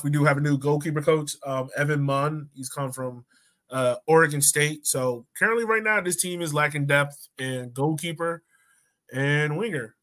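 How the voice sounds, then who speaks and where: A man's voice: fairly high pitch, fairly bright tone. A man hosting a podcast, chatting casually about sports. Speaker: podcast host.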